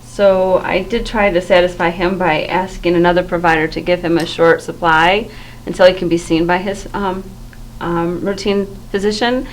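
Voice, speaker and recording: A woman's voice: lowish voice, steady tone, normal speed, slight background noise, natural voice, low-quality recording. A woman playing an earnest, flustered medical office staffer in a training role-play, speaking politely with some hesitation. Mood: worried.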